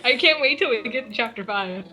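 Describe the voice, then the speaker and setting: A woman on a voice call talks in a bright, clear voice, quick and laughing as she sorts out the reading. Faint music sits underneath her. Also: fairly high pitch, lively intonation. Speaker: co-reader on a voice call. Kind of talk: casual chat. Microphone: voice call microphone. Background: faint classical music.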